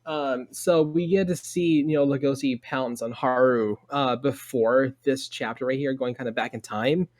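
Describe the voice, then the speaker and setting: A man's voice: medium pitch, fairly even intonation, moderate pace, few pauses, a little mellow, no echo. A man explaining things casually in a group podcast discussion. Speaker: podcast panelist.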